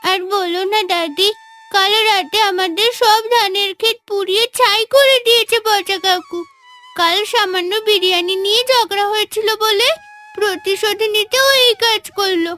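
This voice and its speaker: A woman's voice: very high-pitched, normal speed, pushed and bright, soft background music. A woman voicing an earnest, innocent little village girl in a fairy-tale cartoon, with a thin childlike voice. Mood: tearful, earnest.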